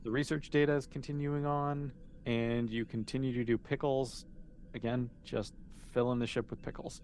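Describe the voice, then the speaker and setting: There is clear noise behind this man's mid-pitched voice. He runs a tabletop role-playing game in a casual, conversational way, making up the narration as he goes and thinking out loud. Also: level tone, normal speed. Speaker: game master.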